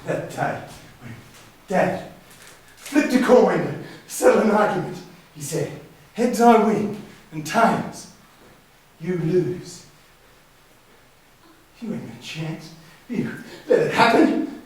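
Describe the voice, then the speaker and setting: A man's voice: high-pitched, lively intonation, slow pace, long pauses. A man acting in a stage play as a wounded, defiant grown son standing up to his bullying brother. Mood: bitter, accusing. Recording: very echoey hall, distant mic.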